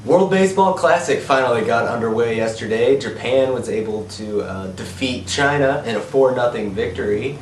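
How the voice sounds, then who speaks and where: A man with a cold and a nasal, stuffed-up voice, co-hosting a casual sports chat and reading the news at a steady pace. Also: medium pitch, moderate pace, hardly any pauses, a little hiss or hum. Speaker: podcast co-host. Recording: echoey room, mic at a distance.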